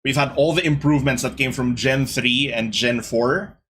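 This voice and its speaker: A young man hosting a casual gaming podcast, talking to his chat in an animated, exasperated way. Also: mid-range voice, normal speed, background noise. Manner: animated, exasperated ranting with laughs. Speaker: podcast host.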